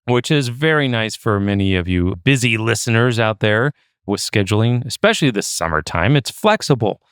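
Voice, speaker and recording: A chatty man with a low voice co-hosts a podcast, talking loosely and dropping in fillers as he goes. His voice sounds close and dry, with no room echo. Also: expressive, normal speed, a bit bright, clean tone. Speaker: podcast co-host. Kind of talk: casual podcast chat.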